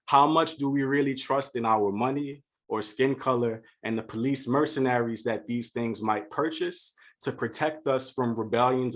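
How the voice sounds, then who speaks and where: A man from Louisiana giving a prepared keynote speech for a virtual conference, speaking in an earnest, measured and deliberate way. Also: mid-range voice. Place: home setup for a virtual conference.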